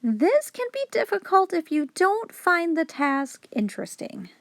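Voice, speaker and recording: A woman reading a children's picture book aloud expressively, in a clear, instructive storytelling manner for young children. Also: very high voice, very animated, measured pace, no echo. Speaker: children's story reader, host.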